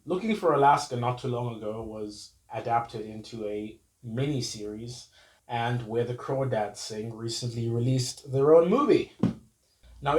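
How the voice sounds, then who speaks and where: A man's voice: medium pitch, a little mellow, recorded from a distance. A young man speaks earnestly in a casual vlog, a little under the weather. He sits back from the mic in a room with a roomy echo, and faint music plays behind him. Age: young adult. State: slightly ill. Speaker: vlogger. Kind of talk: casual to-camera book talk. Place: room at home with bookshelf.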